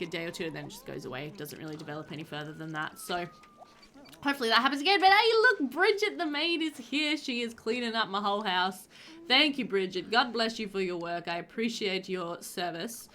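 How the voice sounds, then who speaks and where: A woman in her thirties narrates her gameplay in a loose, chatty way, laughing often. Her nose sounds slightly stuffed up. She talks into a headset at a middle pitch. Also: pushed and bright, slight background noise. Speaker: gaming channel host. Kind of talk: casual gameplay voiceover.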